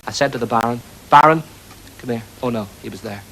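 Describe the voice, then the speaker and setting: A man's voice: medium pitch, fast, vocal fry, sharp tone. A man playing a cheerful, daft young pantomime servant in chatty comic patter. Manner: chatty, gormless, bouncy. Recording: noisy 1980s TV recording.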